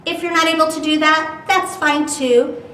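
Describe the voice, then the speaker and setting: A woman reading aloud as a children's storyteller, expressive and dramatic, talking to young children. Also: high voice, moderate pace. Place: indoor room, virtual storytime. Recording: roomy, distant mic with background noise.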